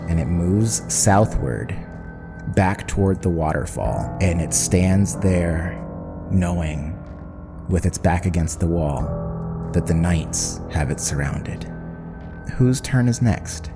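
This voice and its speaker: A man's voice: low voice, expressive, slow, music in the background. A man narrating a tabletop roleplaying game as game master, describing the scene dramatically.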